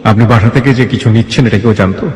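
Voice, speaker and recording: A man's voice: fairly low pitch, rapid-fire. A Bangladeshi man hosting an interview with probing questions, mixing in English words. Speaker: interview host. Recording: echoey room with long reverb.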